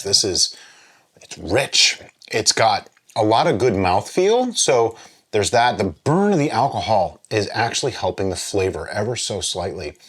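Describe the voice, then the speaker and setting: A man hosting a drinks review vlog, chatting casually and explaining as he muses aloud, with pauses and little check-ins. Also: lowish voice, fairly bright tone, breathy edge. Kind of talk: casual chat, tasting review.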